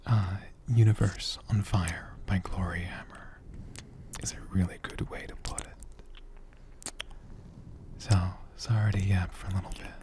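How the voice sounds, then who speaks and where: A man speaking as an ASMR host in a soft, gentle, hushed whisper, taking his time. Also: deep voice, fairly even intonation, unhurried, long pauses.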